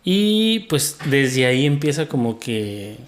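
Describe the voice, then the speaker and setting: A young man with a central Mexican accent talks through his childhood at an easy, unhurried pace. He sounds relaxed and says "este" often as he gathers his thoughts. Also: mid-range voice, slight background noise. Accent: central Mexican Spanish. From State of Mexico. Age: young adult. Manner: relaxed, uses many fillers like "este". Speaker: podcast guest. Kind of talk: casual interview storytelling.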